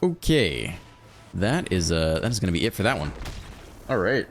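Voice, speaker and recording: Low-voiced man casually commentating a card game. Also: normal speed, soft background music. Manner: thinks aloud, relaxed and chatty. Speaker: game video host. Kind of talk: casual live gameplay commentary.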